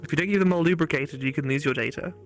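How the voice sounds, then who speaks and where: A man's voice: fairly high pitch, rapid-fire, soft background music. A man guesting on a gaming stream, chatting casually with crude, mock-serious humour. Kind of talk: casual joking chat.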